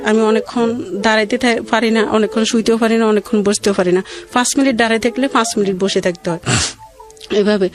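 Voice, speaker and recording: A middle-aged woman with a colloquial regional Bangladeshi accent tells her own life story in a halting, rambling, plaintive way. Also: on the high side. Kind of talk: emotional personal storytelling.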